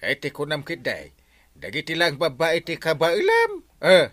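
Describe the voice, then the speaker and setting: A man's voice: a little high, huge pitch swings, a little slow, fairly bright tone, dry recording, full character voice. A man with an Ilocano accent playing an exasperated village man scolding his erring friend in a radio comedy drama, with theatrical delivery. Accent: Ilocano, Philippines.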